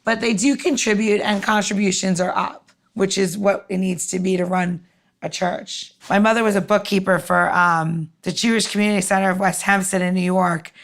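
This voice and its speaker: Woman podcast host with a creaky voice. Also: medium pitch, fairly even intonation, moderate pace. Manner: rambling, thinking aloud. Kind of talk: casual chat interview.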